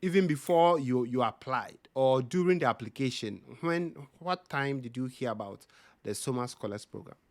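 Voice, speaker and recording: Male podcast host, medium voice, dry close sound, measured and thoughtful questions. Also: mid-range voice, expressive, no room sound. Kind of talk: interview conversation.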